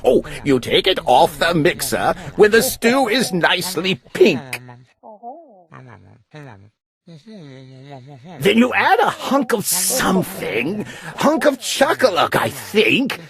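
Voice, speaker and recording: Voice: medium pitch, sing-song, unhurried, fairly bright tone. A performer voicing a chatty, pushy male storybook creature, gabbing and wheedling in rhyme. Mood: breezy, dismissive. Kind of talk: rhyming children's storybook character voice.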